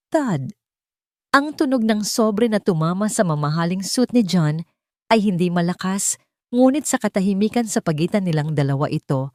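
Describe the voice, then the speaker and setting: A woman's voice: fairly low pitch, mellow, no echo, studio quality. A woman reading a dramatic romance story as a scripted narration.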